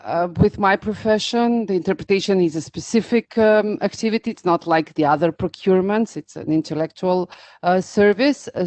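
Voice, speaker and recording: A woman's voice: fairly low pitch, a little mellow, dry recording. Hesitant woman with an Albanian accent. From North Macedonia. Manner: hesitant, many pauses and fillers. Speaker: interpreter and business owner. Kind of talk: answering interview questions.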